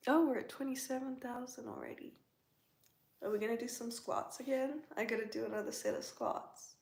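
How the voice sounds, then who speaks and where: A woman's voice: on the high side, fairly even intonation, a little fast, vocal fry, a little mellow, echoey room. A woman hosting a casual livestream, chatting and teasing as she answers viewers' comments from home. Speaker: livestream host.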